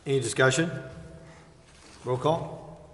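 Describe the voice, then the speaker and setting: A man's voice: mid-range voice, slow pace, a bit bright, a little hiss or hum. A man formally chairing a council meeting, reading out agenda items as the presiding officer. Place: council chambers. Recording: hall reverb of a meeting room.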